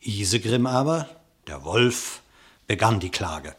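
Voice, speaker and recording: Husky male storyteller reciting verse. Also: lowish voice, animated, a bit bright. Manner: measured, declamatory. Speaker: narrator. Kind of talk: literary verse narration, radio drama.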